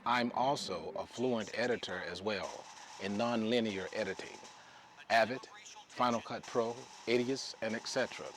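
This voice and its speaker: A man's voice: on the low side, normal speed. A man giving a scripted promotional narration as a videographer introducing his own work. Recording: noisy background.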